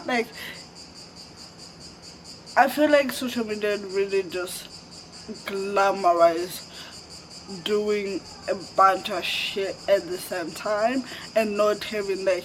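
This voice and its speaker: A man's voice: sits high, a little hiss or hum, rough recording. A twenty-year-old man from Zimbabwe, vlogging in a casual, rambling, candid way, with lots of fillers and the odd swear word. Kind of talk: casual reflective chat to camera. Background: faint crickets and insects.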